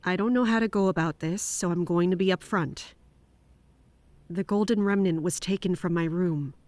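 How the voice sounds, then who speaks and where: An actress playing a weary, guarded female captain in an audio drama, speaking in a measured, restrained way. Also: on the low side, darkish tone, dry recording, close to an everyday voice. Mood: grave, restrained.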